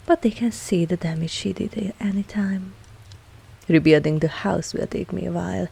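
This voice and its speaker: A woman playing an ancient, composed and fondly dominant vampire mistress in an intimate ASMR audio roleplay, softly spoken with a husky voice. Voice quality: husky, low, breathy at times. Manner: slow, measured, softly spoken.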